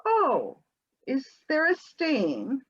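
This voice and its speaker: A woman's voice: high voice, huge pitch swings, very slow, dull audio, exaggerated voice. A woman playing a fluttery, evasive, genteel housewife in a comic stage scene, over-polite and theatrical. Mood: flustered.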